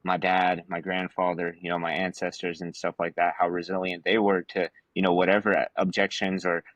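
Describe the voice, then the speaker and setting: A man's voice: low-pitched, level tone, normal speed, fairly bright tone, no echo, highs cut off. A man from Afghanistan talking as a podcast guest in a casual, emphatic and slangy storytelling way.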